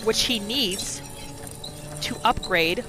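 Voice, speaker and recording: A woman hosting a gaming roleplay series, telling the story in short phrases with dramatic pauses. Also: medium pitch, over music. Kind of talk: storytelling gameplay narration.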